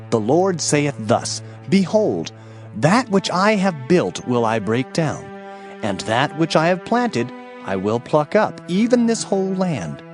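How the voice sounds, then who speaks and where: A man playing a stern prophet in a dramatized audio Bible, solemnly declaiming God's judgment in archaic phrases. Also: a little high. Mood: solemn, commanding.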